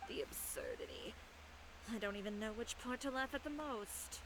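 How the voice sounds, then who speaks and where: An actress playing a proud, self-reliant female tailor who speaks in a dry, composed, quiet way. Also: on the high side, moderate pace, background noise, lightly acted. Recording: game audio with background ambience.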